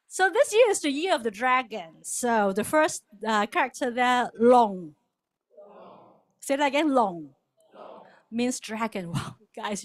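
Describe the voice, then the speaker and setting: A woman's voice: on the high side, a bit bright. Chatty woman. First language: Cantonese. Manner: chatty, laughs often, leads the crowd in repeating words. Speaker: guest speaker at a worship service. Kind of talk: casual cultural talk with call-and-response.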